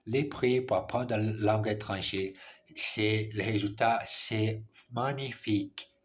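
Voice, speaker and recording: A man's voice: lowish voice, steady tone, slow. A man practicing French on a phone camera, repeating and correcting himself as he reads dialogue lines aloud. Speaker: language learner. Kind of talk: casual language practice vlog.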